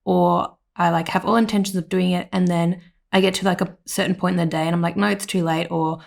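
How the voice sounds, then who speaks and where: A woman with an Australian accent, slightly hoarse, giving a rambling voiceover at home full of "like" and "um". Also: lowish voice, steady tone, a little fast, a little mellow. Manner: rambling, with many "like" and "um" fillers. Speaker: planner vlogger. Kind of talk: casual voiceover narration.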